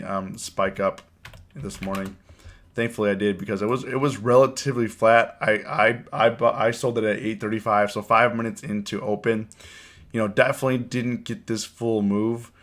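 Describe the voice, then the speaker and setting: A man with a cold and a hoarse voice, hosting a casual live stream and chatting with his viewers, often repeating his words. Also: fairly low pitch, a bit bright. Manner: rambling, repeats words, reads chat aloud. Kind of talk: casual live stream chat about trading.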